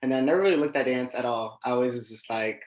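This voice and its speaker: A man's voice: mid-range voice, quick pace, slight background noise. Podcast guest, male, late teens, informal and chatty delivery, recorded with noticeable room echo. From United States. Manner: informal, conversational, heavy use of "like". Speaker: podcast guest, dancer and artist. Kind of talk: casual interview chat. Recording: echoey, roomy sound.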